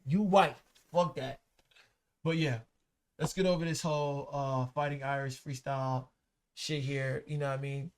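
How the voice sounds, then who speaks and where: A man's voice: mid-range voice, steady tone, moderate pace, smooth. A man co-hosting a casual podcast, talking bluntly and emphatically with lots of profane slang. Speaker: podcast co-host. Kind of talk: casual chat about rap and culture.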